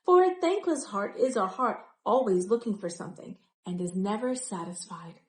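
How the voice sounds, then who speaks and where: A woman's voice: mid-range voice, expressive, normal speed, echoey room, close to an everyday voice. A woman voicing an earnest animal scribe in a children's storybook, declaiming and admonishing with emphatic, preachy delivery. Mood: proclaiming.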